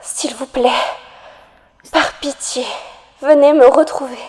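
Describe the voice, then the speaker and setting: Actress doing a desperate trapped girl in a horror cartoon, highish soft voice, begging, big echo. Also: fairly even intonation, slight background noise. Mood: desperate pleading. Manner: pleading, earnest, slow dramatic delivery. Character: desperate young woman trapped in darkness, pleading for rescue. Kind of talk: dramatic monologue in an animated horror fandub. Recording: long reverb.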